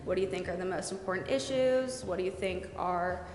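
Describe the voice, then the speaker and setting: A woman's voice: fairly low pitch, fairly quick, a little mellow. Woman presenting in an echoey hall. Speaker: presenter to a city council. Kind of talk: explaining at a public meeting. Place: council chambers. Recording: echoey hall, noisy background.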